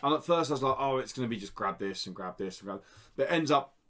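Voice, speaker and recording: A man's voice: mid-range voice, animated, fast, a bit bright. A man co-hosting a podcast, chatting casually about video games in an informal way with some swearing. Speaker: podcast co-host.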